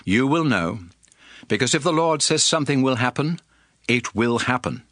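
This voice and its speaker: An actor playing a solemn, commanding lawgiver in a dramatized audio Bible, speaking in an emphatic, oratorical way. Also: male voice, medium pitch, expressive, moderate pace, fairly bright tone, no room sound, clean studio sound, close to an everyday voice. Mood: solemn instruction. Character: solemn, commanding male lawgiver addressing his people. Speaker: scripture narrator playing a prophet and lawgiver. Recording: music bed under the voice. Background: music.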